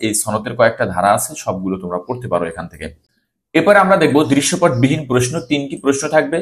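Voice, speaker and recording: A man with a Bangladeshi accent teaching an exam-preparation lesson, reading questions aloud and instructing students, repeating key phrases for emphasis. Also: medium pitch.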